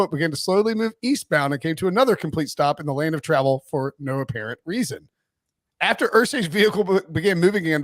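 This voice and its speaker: A man hosting a podcast, chatting casually and jokingly about sports, his voice slightly raspy. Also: on the high side, no room sound. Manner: fast, joking, reads quotes aloud. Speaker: podcast host.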